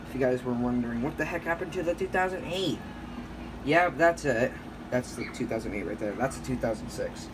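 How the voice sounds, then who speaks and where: Rambling man, noisy home recording. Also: mid-range voice. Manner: rambling, halting, often self-correcting. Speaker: hobbyist vlogger showing a radio collection. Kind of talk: casual unscripted show-and-tell. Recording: clear background noise, home video.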